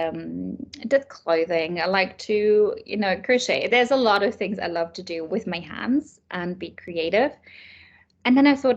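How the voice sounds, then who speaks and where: A woman's voice: fairly low pitch, muffled recording. Woman chatting as a guest. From Germany. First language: German. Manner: thoughtful, many fillers and restarts. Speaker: author and illustrator guest. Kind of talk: casual interview chat.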